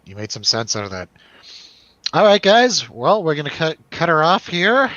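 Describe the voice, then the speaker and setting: A man with a bright, forceful voice hosts a casual movie review. He talks in a joking, irreverent way at a middle pitch. The sound is close and dry, slightly lo-fi. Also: big pitch swings, normal speed, dry recording. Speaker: host of a movie review show.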